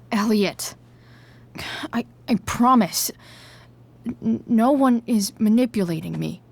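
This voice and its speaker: A woman playing a rebellious, uneasy teenage girl in a naturalistic video game drama, blunt and halting in her delivery. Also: a little high, lively intonation, gravelly, exaggerated voice. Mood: defensive, exasperated.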